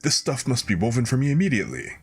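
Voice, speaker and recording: A man's voice: medium pitch, huge pitch swings, quick pace, husky, faint music behind, pitched above the performer's own voice, exaggerated voice. A man voicing a vain, clothes-obsessed emperor from a fairy tale in an eager, declaiming manner. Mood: eager, self-satisfied.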